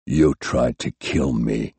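A man's voice: extremely low pitch, slow, creaky, darkish tone, breathy edge, no echo, pitched below the performer's own voice, heavily acted. A man voicing a wounded male fighter, thick and muttered, in an audiobook reading. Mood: grim. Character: wounded young male fighter, grim, fading.

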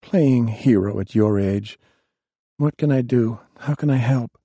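A man voicing a worried young woman who loves the warlock, soft and gentle, in an audiobook reading. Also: medium pitch, unhurried, breathy edge, no room sound, voice pushed up. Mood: tender.

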